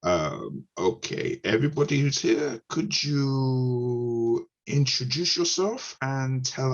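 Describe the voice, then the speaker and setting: A man with a low voice and a Ghanaian accent moderates a panel informally. His delivery is halting and casual, with an apologetic touch, and the call audio is slightly dull. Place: online video panel.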